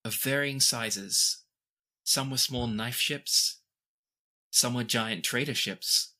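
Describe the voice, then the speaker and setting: A man voicing a gracious, formal princess in a tabletop game, speaking with measured, courtly diction. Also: medium pitch, sharp tone, heavily acted. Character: formal, gracious young royal princess, concerned for her brother.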